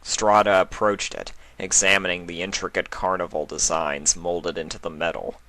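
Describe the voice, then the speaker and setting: A man reads a story aloud as a dramatic narrator. His voice is very deep, with a bright, forceful edge that carries the scripted prose. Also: little pitch movement, normal speed. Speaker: narrator, fan fiction reader.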